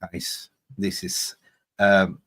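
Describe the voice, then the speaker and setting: Low-voiced man from Sweden hosting a football watch-along, blunt and sweary, quick to get excited. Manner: blunt and swearing, excitable when reacting to live scores. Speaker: football fan channel host. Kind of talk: casual post-match fan chat.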